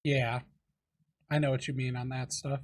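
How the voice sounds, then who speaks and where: A man with a husky, slightly breathy voice hosts a relaxed podcast chat. He speaks at a middling pitch, easygoing, and nods along with quick affirmations. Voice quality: lower voice. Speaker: podcast host. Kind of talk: casual podcast interview.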